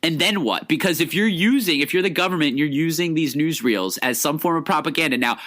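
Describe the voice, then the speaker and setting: An American man co-hosting a casual film history discussion, explaining things in a halting, rambling way with many false starts. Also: fairly high pitch, fairly bright tone. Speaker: podcast co-host.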